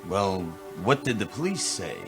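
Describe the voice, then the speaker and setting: Low, wry hard-boiled detective. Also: male voice, moderate pace. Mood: casual coaxing. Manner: deadpan, wisecracking noir narration. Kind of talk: 1940s radio detective parody acting. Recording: roomy sound, music underneath.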